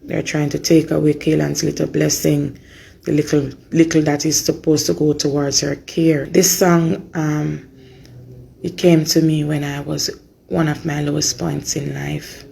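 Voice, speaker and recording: A Jamaican woman speaks informally and earnestly to her supporters. Her voice is hoarse and creaky, and she goes slowly, repeating phrases to make her point. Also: low-pitched, little pitch movement, warm tone. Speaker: mother giving an update on her daughter. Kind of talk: informal spoken update to supporters.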